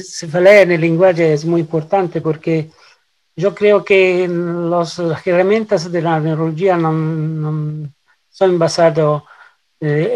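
A man's voice: fairly high pitch, darkish tone. An Italian man with an Italian accent, a professor being interviewed, searching for words in a second language and repeating for emphasis. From Italy. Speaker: interviewed professor and neurology researcher. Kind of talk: interview answers, explaining.

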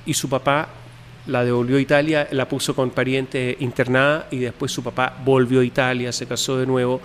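A man, a guest telling his family history in an interview, pausing often with fillers and restarts. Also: mid-range voice, flat delivery, big-room sound, a little hiss or hum. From Chile. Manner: hesitant, many fillers and restarts.

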